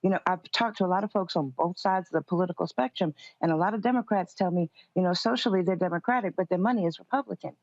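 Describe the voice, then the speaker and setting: Woman, political commentator, slightly low pitch, careful measured answers, dull sound. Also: darkish tone. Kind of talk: live interview answers.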